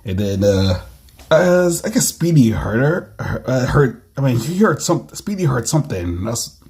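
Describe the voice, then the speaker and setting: Husky, expressive voice, rambling comic reader. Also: medium pitch. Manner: rambling, halting, repeats phrases, acts out action with sound effects. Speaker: comic creator reading own comics aloud. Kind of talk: casual read-aloud with commentary on own comic.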